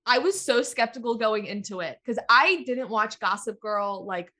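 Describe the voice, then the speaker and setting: A woman co-hosting a podcast, chatty and quick to chime in during casual talk. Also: on the high side, pushed and bright. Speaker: podcast co-host. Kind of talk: casual chat.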